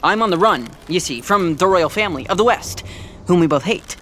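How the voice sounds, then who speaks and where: A man's voice: high voice, animated, a little fast, pushed and bright, a little hiss or hum. A man playing a nervous, chatty young American bluffing as a thief in a fiction podcast, rambling and stammering as he tries to please. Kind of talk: fiction podcast acting, casual modern speech.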